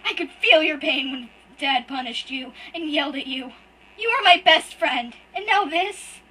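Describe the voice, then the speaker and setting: Sobbing, high-pitched furry boy read by a woman, loud and shrill, raw betrayal. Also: moderate pace, recorded from a distance, poor audio. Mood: sobbing anguish. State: crying.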